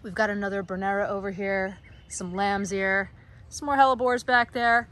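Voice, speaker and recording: A woman's voice: medium pitch, fairly even intonation, moderate pace, a bit bright. A middle-aged American woman giving a casual, enthusiastic walking tour of her backyard garden, chatting with lots of fillers, recorded outdoors on a phone. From United States. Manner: chatty, enthusiastic, frequent fillers and small laughs. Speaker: garden blogger.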